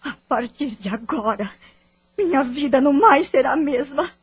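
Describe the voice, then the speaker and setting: A woman's voice: high-pitched, animated, moderate pace, lo-fi sound. A woman acting in an audio drama with a Brazilian accent, playing a bitter, wounded wife and devoted mother in formal, melodramatic phrasing. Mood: devastated, bitter.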